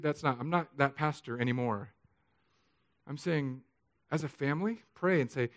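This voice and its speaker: A man in his thirties from Southern California, preaching a sermon in an earnest, confessional way that swings between soft and emphatic. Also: on the high side. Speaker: pastor.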